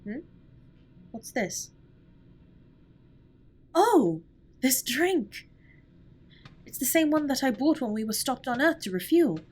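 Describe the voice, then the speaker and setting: Husky, formal alien starship captain, played by a woman. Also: high-pitched, sing-song, slow pace, pauses often, darkish tone, exaggerated voice. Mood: pleasantly surprised. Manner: formal, precise, stiltedly polite. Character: formal, reserved but tender alien spaceship captain. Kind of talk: scripted comfort audio roleplay.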